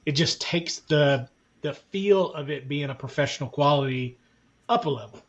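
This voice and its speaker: A man's voice: on the high side, normal speed. A man in a casual podcast discussion, conversational and loosely rephrasing as he explains. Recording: slightly dull, lo-fi sound.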